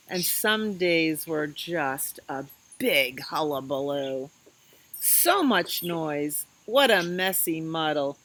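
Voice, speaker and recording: A woman hosting a children's storytime, reading aloud in an expressive, sing-song way and talking directly to young listeners. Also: deep voice. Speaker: children's librarian, storytime host.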